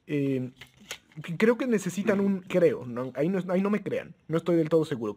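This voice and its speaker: A man with a husky, somewhat high voice walks viewers through a gadget in a relaxed, chatty demo. He stumbles and restarts often, filling pauses with "eh", and breaks into small chuckles. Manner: hesitant, frequent restarts and "eh" fillers, addresses viewers as friends; chuckles often while talking. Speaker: radio gear reviewer. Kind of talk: casual product demo.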